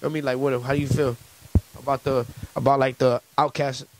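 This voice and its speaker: A young man hosting a casual interview on a handheld microphone, animated and slangy, peppering his talk with bro and feel me. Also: medium pitch, a little fast, low-quality recording. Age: young adult. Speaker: interviewer and host. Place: small room, seated on a couch. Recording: clear background noise, clicks.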